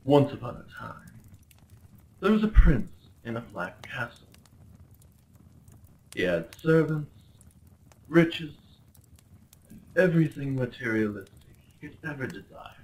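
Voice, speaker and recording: A man's voice: mid-range voice, sing-song, unhurried, pauses often, darkish tone, a little hiss or hum, rough recording. Man acting as possessive, theatrical prince, swooping delivery. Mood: wistful storytelling. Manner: theatrical, formal, condescendingly polite. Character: possessive, smug yandere crown prince, courtly and obsessive. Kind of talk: roleplay ASMR acting.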